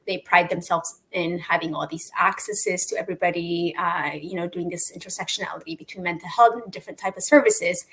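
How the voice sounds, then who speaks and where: Reflective woman hosting a panel, lower voice. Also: fairly bright tone, rough recording. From Ethiopia. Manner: reflective, many fillers and restarts. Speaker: host. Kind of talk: panel discussion.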